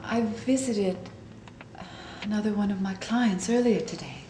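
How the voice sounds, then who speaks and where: A woman's voice: mid-range voice, measured pace, mellow, echoey room, far from the mic, soft background music, natural voice. An actress playing an affectionate but frightened courtesan in an audio drama, guarding what troubles her. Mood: haunted, confiding. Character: Evelyn, troubled, affectionate prostitute hiding her fear.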